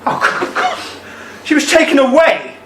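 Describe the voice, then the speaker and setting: A man's voice: extremely high pitch, huge pitch swings, a little slow, heavily acted. A man playing an older ex-army husband in a radio play, regretful and haunted by a lost love, speaking with hesitant emotion. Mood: shocked, distraught. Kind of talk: amateur radio play acting. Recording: roomy, distant mic, faint noise.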